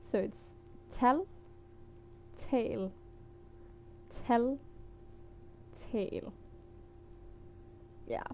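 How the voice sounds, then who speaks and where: A woman's voice: fairly high pitch, extremely slow, gravelly, long pauses, a little hiss or hum. A woman from Denmark with a Danish accent, teaching words in a casual, hesitant vlog with many fillers and small laughs. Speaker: language teacher vlogger.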